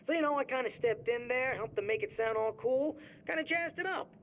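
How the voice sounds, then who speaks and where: A man's voice: very high-pitched, brisk, a bit bright, a little hiss or hum. An actor playing a boastful, laid-back young male music producer guesting on a radio show.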